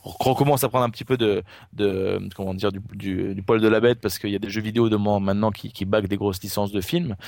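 Fast-talking man with a Marseille accent. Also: lowish voice, fairly even intonation, vocal fry, darkish tone, no room sound, clean studio sound. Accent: southern French, Marseille. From Marseille, France. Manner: talks fast with many restarts and repeated words. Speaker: guest, TV gaming columnist. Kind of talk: casual podcast interview.

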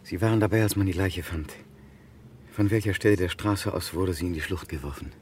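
A man's voice: sits low, a little fast, darkish tone, slight background noise, lightly acted. An actor playing an anxious, doubting man nearing forty in a radio crime drama, with a brooding, uneasy delivery.

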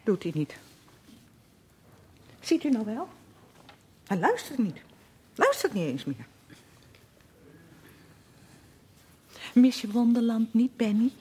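A nagging, animated middle-aged mother. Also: on the high side, measured pace, pauses often, slightly rough, heavily acted. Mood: nagging, baffled. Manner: chattering, scolding, self-justifying. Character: defensive, nagging, uncomprehending mother of a troubled son. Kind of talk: Dutch radio play acting.

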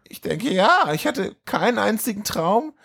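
A man voicing a troubled, sleepless young woman in an amateur radio play of film dialogue, in an exaggerated falsetto. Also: sing-song, unhurried, gravelly, fairly bright tone, breathy edge, heavily acted. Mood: relieved, elated.

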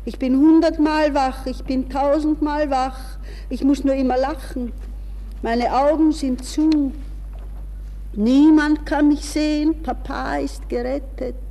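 An actress playing a distraught young woman in a hushed, dreamy, intense inner monologue in a 1960s radio play. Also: high-pitched, steady tone, takes it slowly. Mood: feverish elation. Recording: reverberant 1960s radio recording with hiss.

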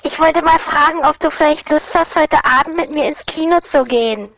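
A shy, flirting woman, played by an actress in a radio play phone call. Her voice is extremely high, buried in heavy, clicking line noise. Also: a little fast. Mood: shyly hopeful.

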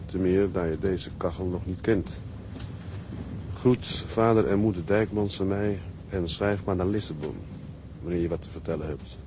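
A man's voice: low-pitched, fairly even intonation, normal speed, lightly acted. An actor playing a conscientious ship's first mate in a Dutch radio drama, stern with his crew and tender as a husband. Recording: noisy drama track with loud ambience.

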